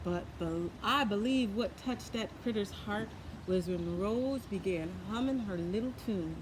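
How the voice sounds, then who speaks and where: A woman reading a children's tall tale aloud in an expressive, folksy way, adding her own asides. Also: medium pitch, a little slow, noisy background. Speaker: storyteller. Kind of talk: children's story read-aloud.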